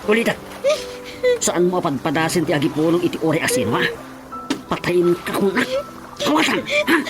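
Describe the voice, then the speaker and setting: A man's voice: high-pitched, sing-song, moderate pace, over loud music. A man playing a menacing uncle in a radio drama, bullying and insistent as he threatens a young relative.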